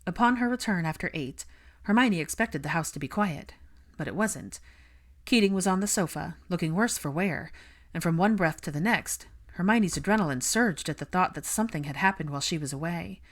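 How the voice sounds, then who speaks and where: A woman narrates fiction as an audiobook reader. Her voice sits on the low side, and the delivery is scripted storytelling. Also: expressive, fairly quick.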